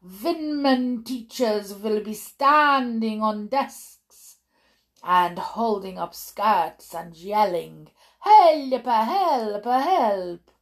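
A woman voicing a domineering old witch villain in a storybook, theatrical and deliberate, in broken English. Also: mid-range voice, fairly bright tone, full character voice. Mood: triumphant. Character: gloating, domineering old Grand High Witch, foreign-sounding villain.

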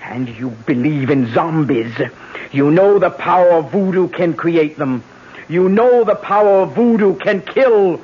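An actress playing a commanding older voodoo sorceress, solemn, incantatory and ominous, in an old radio drama. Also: female voice, on the low side, expressive, measured pace, breathy edge. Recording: dull old radio recording with music.

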